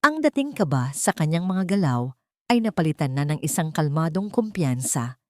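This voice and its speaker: A woman narrating a scripted dramatic story in a sentimental, measured storytelling manner. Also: deep voice, sing-song, no echo, clean studio sound.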